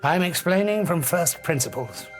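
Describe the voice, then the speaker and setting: An actor playing an obsessive, grandiose scientist in an audiobook drama, arrogant and emphatic, lecturing with dramatic pauses. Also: male voice, on the high side, lively intonation, faint music behind, lightly acted. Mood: insistent.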